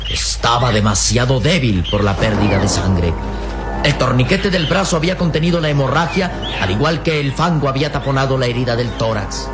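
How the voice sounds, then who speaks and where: A man's voice: medium pitch, brisk. A man narrating a radio serial in a suspenseful, declamatory style. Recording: radio drama with loud music behind.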